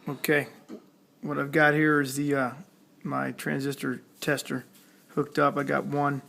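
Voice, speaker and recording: Guy doing a casual repair how-to, monotone, lots of vocal fry, talks while working, slight background noise. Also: mid-range voice, unhurried. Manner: plainspoken, step-by-step, talking while working. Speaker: electronics hobbyist demonstrating a repair. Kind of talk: casual hands-on tutorial narration.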